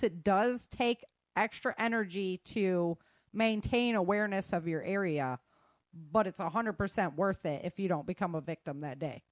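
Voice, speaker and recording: A woman's voice: medium pitch, no echo. A middle-aged woman talking casually and frankly, giving emphatic, informal advice as an instructor. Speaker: self-defense instructor and guest.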